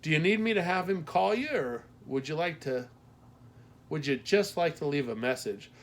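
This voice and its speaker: Man putting on a matronly, teasing postmistress, raised pitch. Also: expressive, moderate pace. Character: matronly small-town postmaster woman, teasing, folksy and gossipy.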